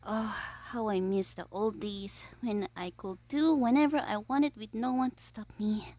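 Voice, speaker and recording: A woman voicing a gleeful, scheming young male supervillain in an ASMR audio roleplay, theatrical and petulant, musing aloud with breathy asides. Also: mid-range voice, fairly even intonation, a little slow, dark tone, close to an everyday voice. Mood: frustrated musing.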